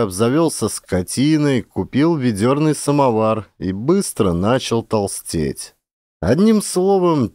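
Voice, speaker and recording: Male actor for classic fiction audiobook, mid-pitched, expressive storyteller, dry close sound. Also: no echo. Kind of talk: dramatic scripted literary narration.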